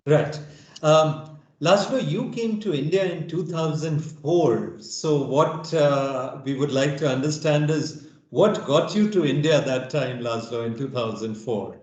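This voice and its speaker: A man hosting an interview, giving a formal introduction and asking questions, with pauses and some fillers. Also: a little high, darkish tone. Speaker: interview host. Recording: echoey room.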